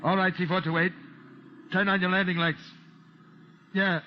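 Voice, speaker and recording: A man's voice: high voice, level tone, moderate pace, close to an everyday voice. An actor playing a tormented, bitter man on the run, speaking in terse phrases in an old-time radio drama. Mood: tense, commanding. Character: tormented, bitter fugitive man working an airfield tower. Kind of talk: 1940s radio drama acting. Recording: muffled, very noisy old radio recording.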